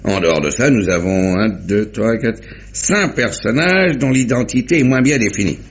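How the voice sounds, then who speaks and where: An actor playing a brisk, gruff, methodical police inspector in a 1950s French radio mystery, talking things through deliberately. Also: male voice, on the low side, lightly acted. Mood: brisk, businesslike. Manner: talkative, deliberate summing-up with muttered interjections. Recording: dull, noisy vintage radio recording.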